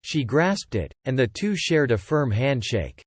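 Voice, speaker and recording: A man reads scripted audiobook narration with lively, varied intonation. His voice sits at middle pitch and is recorded dry and close. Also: measured pace, husky, dry recording.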